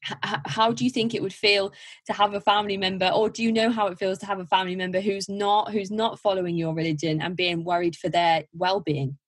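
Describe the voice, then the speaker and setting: A woman's voice: mid-range voice, flat delivery, fairly quick, breathy edge. A woman hosting a podcast interview, asking questions in a hesitant way with frequent restarts. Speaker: podcast host. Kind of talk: casual interview.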